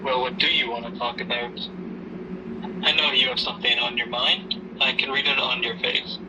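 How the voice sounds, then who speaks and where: A man playing a caring, gently probing father in an amateur audio drama, with a halting, tentative delivery. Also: mid-range voice, flat delivery, far from the mic, background noise, close to an everyday voice.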